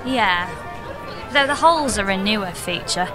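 A woman's voice: mid-range voice, animated, normal speed, over loud music, a loud crowd, natural voice. A woman playing a bewildered, exasperated space engineer in a comedic audio drama, blunt and incredulous.

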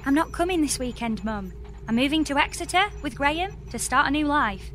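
A high-voiced young British space engineer, earnest and exasperated. It is a scripted comedy audio drama with faint music behind her. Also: lively intonation, a little fast, fairly bright tone. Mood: insistent.

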